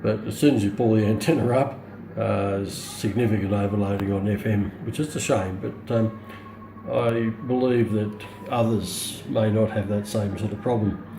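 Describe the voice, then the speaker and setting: A middle-aged man with an Australian accent giving a casual, unscripted product demonstration, pausing often as he goes. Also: on the low side, fairly even intonation. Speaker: hobbyist radio reviewer. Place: indoors on a hard countertop, small room. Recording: roomy echo, faint background noise.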